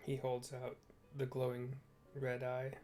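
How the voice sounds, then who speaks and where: A man giving casual, halting descriptive commentary as he plays through a game. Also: medium pitch, completely flat delivery, mellow, faint music behind. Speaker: game streamer narrating a playthrough.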